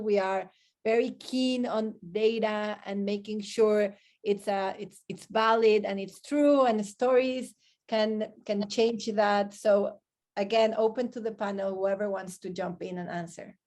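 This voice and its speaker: A woman's voice: mid-range voice, steady tone, measured pace, darkish tone. A woman moderating an online discussion and putting questions to the panel.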